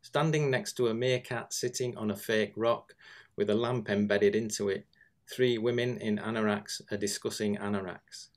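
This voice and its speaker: A British man reading observational prose aloud in a deadpan manner. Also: lowish voice, moderate pace. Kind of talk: literary reading, observational narration.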